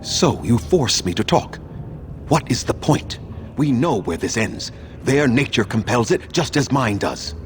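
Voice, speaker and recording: An actor playing a proud, cold, vengeful ancient elven mage in a fantasy video game, grave and defiant. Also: male voice, medium pitch, lively intonation, measured pace, a little hiss or hum, lightly acted. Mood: weary defiance.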